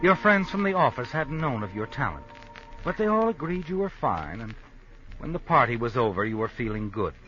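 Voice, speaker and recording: An actor playing an ironic, knowing narrator of a 1950s radio suspense drama, taunting and deliberate. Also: male voice, medium pitch, big pitch swings, pushed and bright. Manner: taunting, deliberate, addresses the character as you. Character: ironic, knowing male narrator addressing a guilty woman. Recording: muffled old radio broadcast with music. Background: music.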